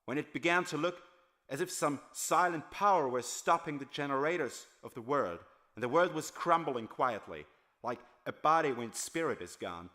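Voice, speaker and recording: A man playing a weary homeless former factory worker in an audiobook, confessing his memories in a halting, solemn way. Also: medium pitch, pushed and bright. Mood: solemn, haunted. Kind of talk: dramatized audiobook monologue.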